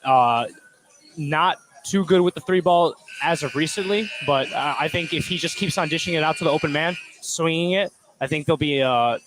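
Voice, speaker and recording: A man's voice: a little high, moderate pace, background noise. A man giving informal, conversational colour commentary on a basketball game. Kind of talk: casual basketball analysis.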